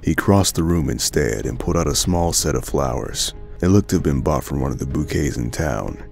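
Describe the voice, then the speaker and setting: A man with a gravelly, husky voice reading scripted narration for an audio drama. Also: rumbling low, a little fast, a little mellow, faint music behind.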